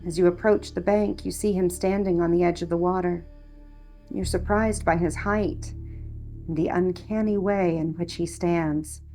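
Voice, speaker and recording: A woman reading a scripted guided meditation in a calm, measured, hushed way, as a narrator leading the listener. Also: lowish voice, faint music behind.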